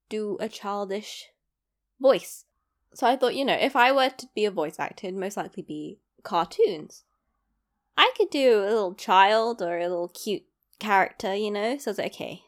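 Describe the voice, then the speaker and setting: A young woman from East London with a London accent, talking casually to the camera, self-deprecating and rambling with asides. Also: mid-range voice. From East London, England. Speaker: vlogger.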